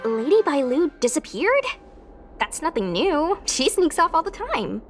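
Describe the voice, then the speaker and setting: A woman's voice: high-pitched, very animated, a little slow, faint music behind. A woman playing a friendly, confident and eager young heroine in a video game. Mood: breezy, eager. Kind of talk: video game dialogue acting.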